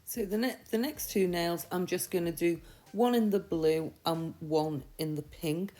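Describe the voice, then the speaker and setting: A woman with a slightly croaky voice from a mild sore throat, giving a chatty, reassuring, step-by-step tutorial. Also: lowish voice, unhurried, dark tone, faint music behind. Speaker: nail art tutorial host. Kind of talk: casual step-by-step tutorial voice-over.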